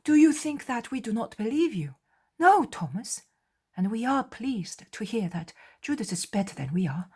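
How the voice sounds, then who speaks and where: A man's voice: extremely high pitch, big pitch swings, moderate pace, darkish tone, husky, pitched above the performer's own voice, exaggerated voice. Woman voicing an earnest, questioning male disciple.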